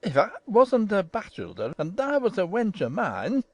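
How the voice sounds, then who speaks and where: A woman's voice: mid-range voice, huge pitch swings, moderate pace, slightly rough, no room sound. A woman voicing an indignant, blustering old male gardener with a Yorkshire accent in an audiobook. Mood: scolding. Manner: blustering, haranguing. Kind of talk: audiobook character voice.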